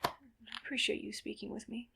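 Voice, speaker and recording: A woman playing a gentle, weary cyborg soldier in a whispered ASMR roleplay, speaking breathily in slow, halting, soothing phrases. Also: medium pitch, level tone, gravelly, slightly rough, exaggerated voice. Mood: soft, reflective.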